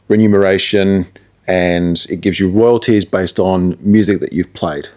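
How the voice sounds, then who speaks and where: A man's voice: sits low, fairly even intonation, a little slow, a little mellow. An Australian man, a radio presenter and musician, chatting casually as an interview guest.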